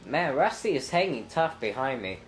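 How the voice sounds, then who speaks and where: A young man with a stuffy nose and a clogged throat, commenting casually on his own racing game and thinking aloud with ums and sudden loud exclamations. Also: mid-range voice, very animated, moderate pace, soft background music. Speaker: gameplay commentator. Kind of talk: casual let's-play commentary.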